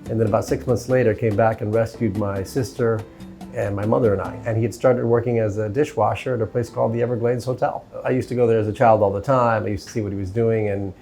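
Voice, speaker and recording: A man's voice: lowish voice, steady tone, fast, dark tone, soft background music. A middle-aged man, a hotel executive born in Cuba and raised in Miami, talking easily through his career in an interview, with "you know" and "uh" along the way. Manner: easygoing, sprinkles "you know" and "uh". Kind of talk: relaxed conversational storytelling.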